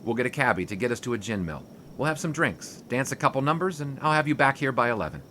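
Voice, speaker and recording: A man plays a wisecracking sports writer from the 1920s, sarcastic and quick with a comeback, dropping French words for effect. His voice is bright and ringing, and it swoops up and down with lively pitch. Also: slight background noise. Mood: charming. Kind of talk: 1920s period audio drama.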